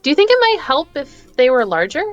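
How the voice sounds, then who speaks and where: Animated, earnest young woman played by an actress. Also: high voice, fairly quick, highs cut off, soft background music. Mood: earnest, helpful. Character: earnest young female detective's girlfriend. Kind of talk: radio play table read.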